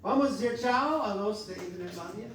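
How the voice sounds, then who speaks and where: American guy preaching sunday school, kinda high voice, far from the mic in a big echoey room. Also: noisy background. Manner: earnest, exhorting with halting restarts. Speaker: pastor. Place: church hall. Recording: echoey church hall from far off.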